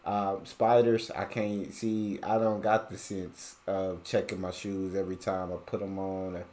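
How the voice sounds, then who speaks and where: A man with an American accent, hosting a reaction video, commenting in a relaxed, emphatic, casual way. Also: deep voice. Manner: relaxed, emphatic, drawn-out asides.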